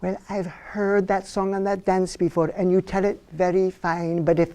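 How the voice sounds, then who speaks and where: Brief: brisk, teasing storybook princess, played by a man, lilt, lifted voice. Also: sits high, little pitch movement, dark tone, clear, resonant tone, pitched above the performer's own voice, natural voice. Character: sassy young Irish princess, teasing.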